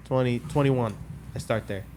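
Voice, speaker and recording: A man's voice: mid-range voice, normal speed, darkish tone, slight background noise. Rambling young man telling his story. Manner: rambling, loses track of dates, many restarts and fillers. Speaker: podcast guest telling his testimony. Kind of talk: casual podcast storytelling.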